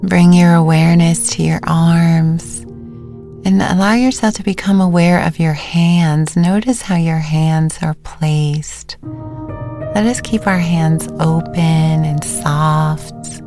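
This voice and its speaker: A woman meditation teacher giving gentle, unhurried guided instructions with pauses between phrases. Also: low voice, fairly even intonation. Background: music.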